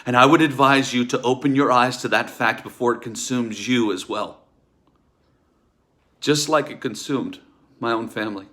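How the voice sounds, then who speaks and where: An actor playing a bitter, wronged husband in a theatrical table read, speaking in an accusatory, ominous and emphatic way. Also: male voice, mid-range voice, normal speed, a bit bright, lightly acted. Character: bitter, wronged husband warning about a sinister doctor.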